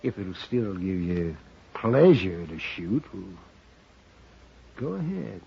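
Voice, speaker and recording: Old west doc, american, scornful and weary, slow with big pitch swings, muffled old radio. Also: male voice, big pitch swings, gravelly, warm tone, breathy edge, exaggerated voice. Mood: weary pity. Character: principled male frontier doctor, weary and scornful of villains. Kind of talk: 1950s radio western acting. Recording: muffled old radio recording, faint noise.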